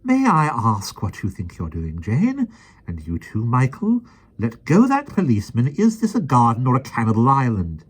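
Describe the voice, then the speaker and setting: A man reading aloud, putting on a high, prim, commanding voice for a stern nanny. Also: slow, hardly any pauses, sharp tone, exaggerated voice. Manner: crisp, commanding, haughty.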